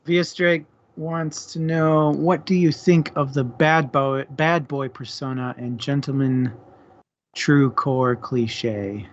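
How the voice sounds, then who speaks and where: Male discussion host, mid-range voice, informal and conversational, dull low-fidelity recording. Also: steady tone, takes it slowly, slight background noise. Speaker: host of a book discussion stream. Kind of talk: casual discussion.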